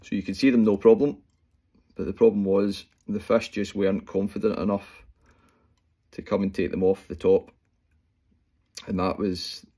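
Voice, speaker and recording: A Scottish man in his forties explaining fly tying step by step at home, talking in a relaxed way with plenty of fillers as he works. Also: fairly low pitch, husky. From Scotland. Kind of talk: casual talk-through tutorial. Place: small room at home, indoors. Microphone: phone camera close up.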